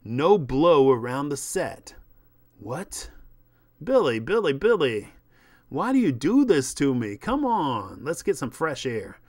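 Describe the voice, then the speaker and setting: A man's voice: sits high, big pitch swings, unhurried, pitched above the performer's own voice. A man voicing an exasperated film agent who pleads and coaxes in a persuasive manner. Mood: exasperated, pleading. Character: coaxing, exasperated male film agent smoothing things over.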